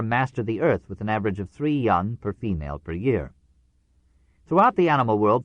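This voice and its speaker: A man narrating a nonfiction audiobook in a measured, formal way with precise phrasing. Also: lowish voice, dry recording, lo-fi sound.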